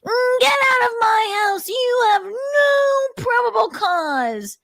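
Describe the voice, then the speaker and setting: A woman voicing an indignant man in a whiny, drawn-out protest, in a mocking dramatic reading. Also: very high voice, lively intonation, creaky, a bit bright, dry recording, clean studio sound, pitched above the performer's own voice, exaggerated voice. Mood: indignant, wailing. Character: indignant man shouting at police to leave.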